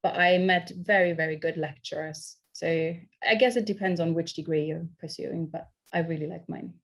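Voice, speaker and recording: A woman's voice: lowish voice, fairly even intonation, moderate pace, gravelly. A young woman on a video call, earnestly encouraging students as a career panel speaker.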